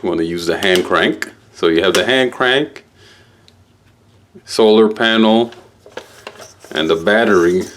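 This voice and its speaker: A man giving a casual, halting unboxing walkthrough as a product reviewer, explaining things piece by piece. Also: low voice, a little hiss or hum.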